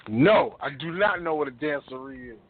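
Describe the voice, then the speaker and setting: A man's voice: a little high, expressive, moderate pace, a little hiss or hum. A man co-hosting a radio show in casual, opinionated banter, blunt and slangy, repeating phrases for emphasis. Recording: tinny, phone-like internet radio audio.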